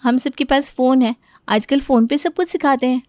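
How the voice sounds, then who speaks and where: Woman playing a very high-pitched young daughter-in-law. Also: moderate pace, darkish tone, no echo, studio quality, close to an everyday voice. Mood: eager. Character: young daughter-in-law, earnest, pleading, eager. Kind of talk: Hindi cartoon story voice acting.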